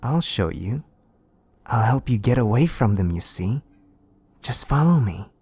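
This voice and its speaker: A man voicing a smiling, sinister tempter who speaks calmly and coaxingly. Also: mid-range voice, a little slow, slightly rough, pitched above the performer's own voice, heavily acted. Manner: calm, coaxing.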